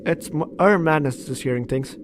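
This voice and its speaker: A man's voice: fairly high pitch, moderate pace, background noise. A man doing playthrough commentary, reading game text dramatically and breaking off into reactive asides. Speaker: gaming YouTuber. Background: game music.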